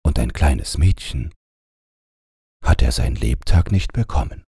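Male bedtime narrator: extremely low, slow, husky voice reading fairy tales. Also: expressive. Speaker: audiobook narrator.

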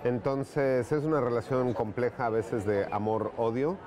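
A man's voice: medium pitch, steady tone, unhurried, a crowd in the background. A man in his thirties with a Mexican Spanish accent, giving a documentary interview as a festival founder. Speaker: horror film festival founder. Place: seated indoors in a colourfully lit public space. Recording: clear noise behind the voice.

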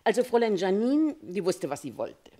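An actress in a German radio crime play voicing a talkative, gossipy Paris concierge who tells things in a chatty, confiding, opinionated way. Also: female voice, mid-range voice, very animated, brisk, mellow. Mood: gossipy, knowing.

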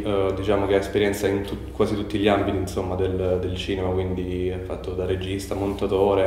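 A young man in his twenties speaks low and level, with little rise or fall in his voice. He answers casually but hesitantly, pausing on fillers and restarting phrases, and the bare room around him rings with heavy echo. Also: a little mellow, slight background noise. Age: 20s. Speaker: young filmmaker interviewee.

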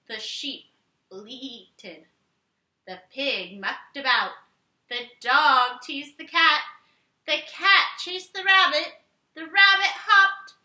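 Woman doing a kids read-aloud, really bright high voice, expressive, talks right to the little ones. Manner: expressive, instructing young listeners, slow deliberate phrasing. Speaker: read-aloud teacher for young children. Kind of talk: animated children's story read-aloud with play-along prompts. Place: living room, indoors. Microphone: camera a few feet away. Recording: roomy living room echo.

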